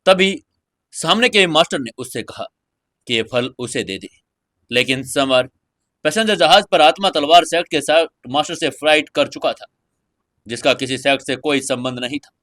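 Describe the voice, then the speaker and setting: Guy narrating an audio story series, slightly high voice, dramatic scripted read. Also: normal speed, fairly bright tone.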